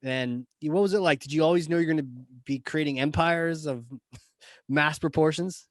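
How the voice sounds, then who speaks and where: A man hosting a podcast interview, asking questions with enthusiastic, quick reactions. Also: a little high, dry recording. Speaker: podcast host. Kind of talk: casual interview chat.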